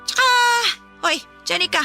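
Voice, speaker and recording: A woman's voice: normal speed, soft background music. A woman playing a harsh, scornful mother in a Tagalog radio drama, scolding and badgering for money. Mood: scornful, nagging. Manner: scolding, sharp-tongued, badgering.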